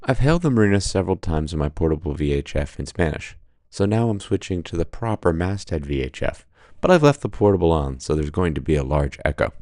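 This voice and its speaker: A man narrating his solo sailing trip in a dry, deadpan voice-over with wry humor. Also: sits low, quick pace. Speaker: solo sailor vlogger.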